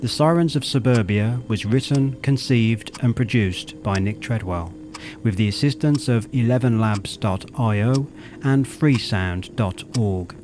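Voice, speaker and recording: A man reading scripted credits as an announcer, in a measured, careful delivery. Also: medium pitch. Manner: measured, spelling out details. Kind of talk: scripted narration.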